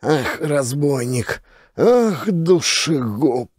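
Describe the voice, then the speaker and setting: An actor playing an indignant, scolding old village elder in a radio play, blustering and exclaiming. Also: male voice, a little high, sing-song, drawn-out words, darkish tone, slightly rough, no echo, clean studio sound, voice pushed up, heavily acted.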